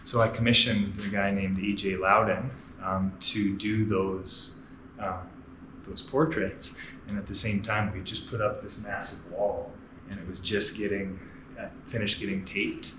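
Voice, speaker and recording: A man in his thirties, a gym owner telling his story in a relaxed, matter-of-fact way with many fillers. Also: lowish voice, measured pace, background noise. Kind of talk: casual storytelling on a walking tour. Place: large indoor gym with murals. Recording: echoey gym room with faint ambience.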